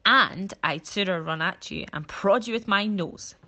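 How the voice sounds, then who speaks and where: A woman voicing a blunt, bullying rhinoceros who talks gruffly and dismissively. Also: medium pitch, unhurried, sharp tone.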